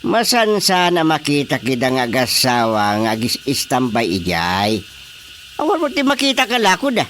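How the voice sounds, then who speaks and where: A woman's voice: a bass voice, huge pitch swings, normal speed, slight background noise, heavily acted. A woman with an Ilocano Filipino accent playing an easygoing, amused, placating village chairman in a radio drama comedy. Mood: questioning.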